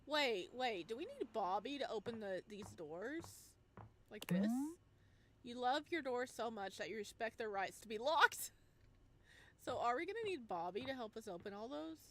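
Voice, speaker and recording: Female game streamer, higher register, highly animated delivery, playful read-alouds and exclamations, noisy recording. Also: normal speed. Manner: expressive, exclaiming, singsong asides. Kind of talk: playful gameplay commentary mixed with reading on-screen text aloud.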